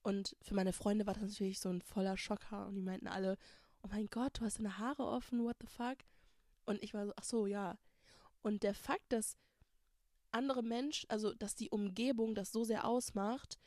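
A woman's voice: medium pitch, steady tone, normal speed, darkish tone, dry recording, studio quality. A young woman in her twenties from Germany, hosting a personal monologue, talking candidly and with emphasis, dropping English words into her German. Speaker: podcast host.

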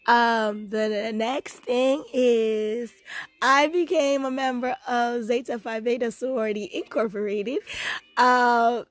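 Hoarse, higher-pitched young woman chatting. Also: no echo. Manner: bubbly, chatty, laughs easily. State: hoarse, losing her voice with a sore throat. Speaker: host of a personal podcast. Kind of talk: casual chat.

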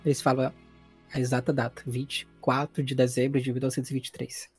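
A man's voice: mid-range voice, level tone, fast, a little mellow, slightly rough, faint music behind. A man with a Brazilian accent, narrating a tabletop role-playing game as game master in an informal, explanatory way.

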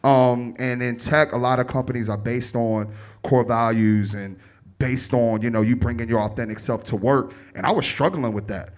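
A man's voice: medium pitch, fairly even intonation, moderate pace. A young man with a Chicago accent speaking on a panel, telling stories animatedly, acting out quotes and laughing often. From Chicago, United States. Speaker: tech panelist. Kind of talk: panel discussion.

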